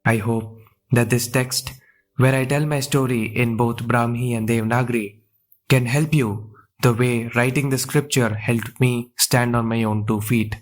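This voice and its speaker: A man's voice: medium pitch, steady tone, a little slow, close to an everyday voice. A man playing an earnest student who narrates his own story in an audio drama, solemn and reflective. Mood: earnest, hopeful. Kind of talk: scripted first-person narration. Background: faint music.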